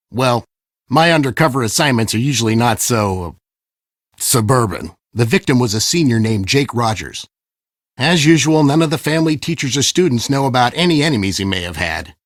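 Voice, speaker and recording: An actor playing a smug, impatient schemer who poses as a police detective in an adventure game, with a condescending, theatrical delivery. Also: male voice, medium pitch, dry recording, clean studio sound. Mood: flustered, evasive. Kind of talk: scripted game character dialogue.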